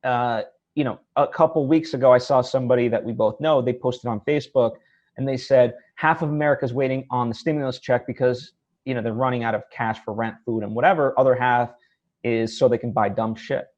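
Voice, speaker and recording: A man hosting a casual interview, speaking in an earnest, hesitant way with halting phrasing. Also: mid-range voice, steady tone, a little mellow, lo-fi sound. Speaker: interview host. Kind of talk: casual one-on-one interview conversation.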